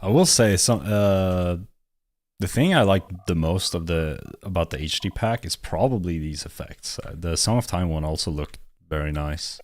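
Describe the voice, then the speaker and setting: A man's voice: low-pitched, animated, normal speed, darkish tone, dry recording. A man chatting casually with a friend, hesitant and full of restarts. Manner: hesitant, lots of fillers and restarts. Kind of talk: casual chat.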